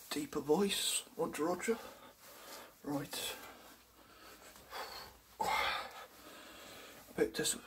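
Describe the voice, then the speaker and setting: A young man playing a disappointed but consoling show host in a hushed, deeper voice for an ASMR roleplay. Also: a little hiss or hum. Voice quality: hushed, low.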